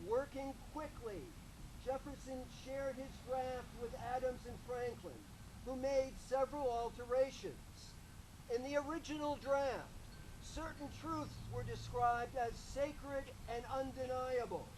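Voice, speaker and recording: A man speaking to a gathered audience at a public ceremony, giving a loud, folksy history talk and joking with the crowd. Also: very high-pitched, steady tone, measured pace, background noise.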